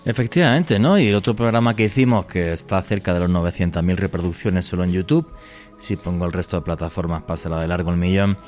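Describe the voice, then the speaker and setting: Low-voiced earnest radio host. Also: male voice, fast talker, a little mellow, faint music behind. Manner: earnest, opinionated, expansive. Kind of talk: podcast interview introduction.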